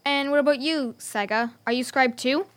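Woman as a high-voiced, eager young girl. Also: normal speed, natural voice. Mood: curious. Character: bright, helpful, bookish young girl adventurer.

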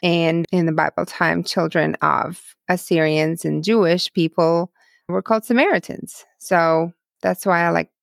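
A woman's voice: on the low side, fairly even intonation, a little slow, vocal fry, no room sound, clean studio sound. A young woman from Ukraine, speaking English with a Ukrainian accent and Russian as her first language, earnestly recalling her past as an interview guest. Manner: earnest, reminiscing, plain non-native phrasing. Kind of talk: personal testimony interview.

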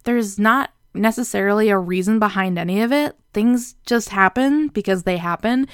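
A young woman with a smooth, clean voice chats earnestly to her viewers. She talks in a loose, reflective way, full of little fillers. The sound is close and dry, with no room around it. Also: mid-range voice, dry recording. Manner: chatty, earnest, many fillers like "like" and "right?". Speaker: vlogger. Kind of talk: casual reflective talk to camera.

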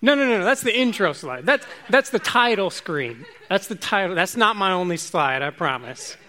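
A man's voice: high voice, normal speed. Man, preacher, informal sermon, talkative, light humor. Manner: relaxed, chatty, self-joking with restarts.

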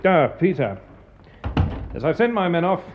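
Higher-voiced English police inspector. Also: male voice, sing-song, a little slow, highs cut off, noisy background. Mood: businesslike. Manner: matter-of-fact, informal. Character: easy-going, businesslike English police inspector, loyal and decent. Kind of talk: radio drama acting.

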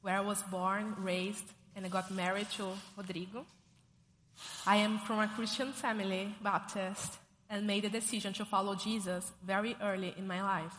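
A woman from Brazil with a Brazilian Portuguese accent, reading her testimony and a scripture passage at church in a careful, measured way. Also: mid-range voice, fairly even intonation, fairly bright tone, slight background noise. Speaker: church member giving a testimony. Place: church sanctuary. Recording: reverberant church hall.

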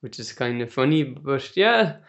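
An Irish man hosting a podcast, asking questions in a relaxed, unhurried way with frequent hesitations. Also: medium pitch. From Ireland. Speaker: podcast host. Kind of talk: casual interview chat.

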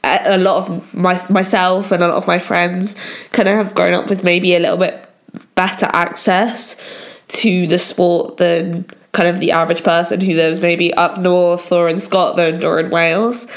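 A young woman from England hosting a solo podcast, her voice croaky from a cold, chatting along with lots of "kind of" and "um". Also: lowish voice, little pitch movement, moderate pace. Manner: chatty, many fillers like "kind of". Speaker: podcast host.

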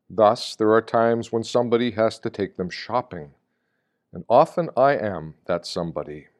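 A man reading a reflective first-person meditation from a script, with a measured storytelling delivery. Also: lowish voice, darkish tone. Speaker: reader of meditations.

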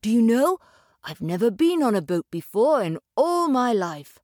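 A woman's voice: on the high side, big pitch swings, a little mellow, dry recording, studio quality, voice pushed up. A woman voicing a shy, naive, easily delighted male mole in a storybook, wondering and drawing out the words. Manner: slow, drawn-out, wondering. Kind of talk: storybook character reading.

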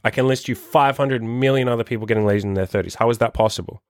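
Man, 30s, dating coach host, profane, emphatic, dry, medium pitch. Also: fast talker, no echo. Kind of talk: solo motivational podcast monologue.

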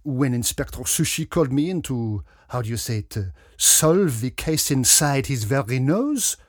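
A man voicing a pompous, dapper little detective with a French accent, theatrical and deliberate, in a mystery story. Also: medium pitch, animated, no echo, studio quality, voice pushed up, lightly acted. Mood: accusing. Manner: pompous, self-regarding, deliberate.

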